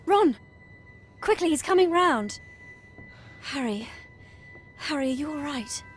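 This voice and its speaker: A woman's voice: sits high, lively intonation, slow. A woman playing an earnest, caring, bossy teenage witch in a video game. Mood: urgent. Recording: loud game music behind.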